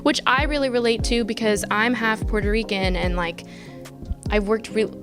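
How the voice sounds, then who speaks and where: A woman's voice: fairly high pitch, fairly even intonation, normal speed, music in the background. A woman in her twenties from Atlanta, chatting casually as a podcast guest in a rambling way, full of "like". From Atlanta, United States. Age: 20s. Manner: chatty, rambling, heavy use of "like". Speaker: guest, knitting artist.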